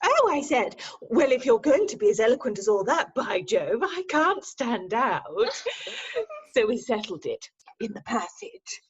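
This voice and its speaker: A woman playing a breezy, teasing young Victorian lady in a stage farce, arch and expressive, recorded over a video call. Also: on the high side, unhurried, dull audio. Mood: breezy, amused. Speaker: actor in an amateur staged reading.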